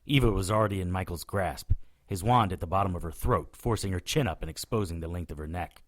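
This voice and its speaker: A wry witch hunter telling his own adventure in an audio drama. His voice sits fairly low, dry and sardonic, with a cocky streak. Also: fast. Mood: grave narrating. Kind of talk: first-person audio drama narration and dialogue.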